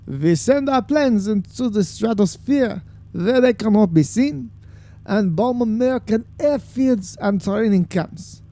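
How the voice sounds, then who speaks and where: A man playing a cold spy ringleader, deliberate and commanding, in radio serial style. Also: sits high, lively intonation, mellow. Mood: menacing. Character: cold, scheming male German spy ringleader, a villain. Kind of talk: 1940s-style radio serial villain acting.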